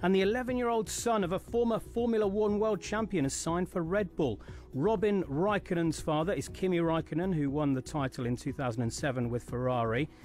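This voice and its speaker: A man reading a scripted sports bulletin in a crisp announcer's delivery. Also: fairly high pitch, brisk, a little mellow. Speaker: sports news reader. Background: music bed.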